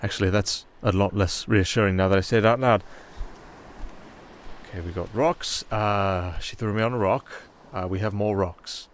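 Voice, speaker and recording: A man's voice: lowish voice, normal speed, close to an everyday voice. A man playing a nervous, wry castaway musician, noisy ambience. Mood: muttering, rueful. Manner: rambling, pleading, sardonic. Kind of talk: ASMR fantasy roleplay acting.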